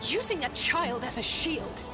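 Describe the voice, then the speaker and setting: A woman's voice: high voice, sing-song, a little slow, low-quality recording. A woman playing a brave, defiant royal youngster in a video game, crying out with passion. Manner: impassioned, crying out. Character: brave, defiant royal child pleading with a father. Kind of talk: video game cutscene acting. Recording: loud music under the voice.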